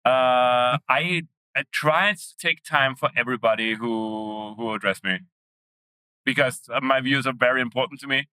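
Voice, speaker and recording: Man chatting, bright and stammering. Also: medium pitch, moderate pace, clear, resonant tone, no room sound, poor audio. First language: Austrian German. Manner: stammering restarts, self-deprecating humor. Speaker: guest. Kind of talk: casual conversation.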